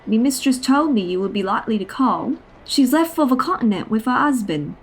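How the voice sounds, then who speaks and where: A polite, matter-of-fact servant in a comedy audio drama. Her voice is fairly high and moves up and down a lot, with faint ambience behind it. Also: lively intonation, a little fast, slight background noise. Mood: polite, informing.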